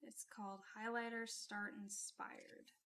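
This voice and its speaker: A woman's voice: fairly high pitch, little pitch movement, slow, pushed and bright. A woman hosting a casual makeup vlog, chatty and friendly, her voice still a little off from a recent illness. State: recovering from illness, voice off. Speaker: beauty YouTuber.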